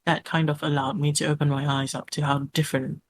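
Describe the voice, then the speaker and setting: A woman streamer from New Zealand with a New Zealand accent touched by Singaporean speech, chatting as an interview guest in a relaxed way full of "like". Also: low voice, fast talker, no room sound. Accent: New Zealand with Singaporean traces. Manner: relaxed, uses "like" often. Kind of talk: casual interview chat.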